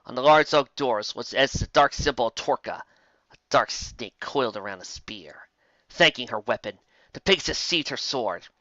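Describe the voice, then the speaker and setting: A man narrates an adventure story in a dramatic scripted style. His voice is mid-pitched with a bright, tense edge. The recording is very dry and slightly dull. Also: lively intonation, moderate pace, no echo.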